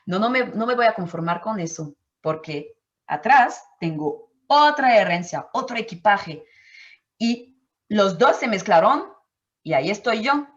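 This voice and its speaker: A woman's voice: mid-range voice, lively intonation, a little slow, dull audio. A woman from Martinique whose first language is French, speaking Spanish as an anthropologist answering interview questions thoughtfully and often searching for words. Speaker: anthropologist guest.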